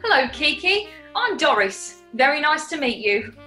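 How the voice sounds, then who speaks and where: A woman's voice: high voice, sing-song, moderate pace, bright, forceful tone, soft background music, full character voice. A woman playing a plucky, polite, cheerful British housemaid in a 1940s radio comedy. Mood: chirpy. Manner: polite, chirpy.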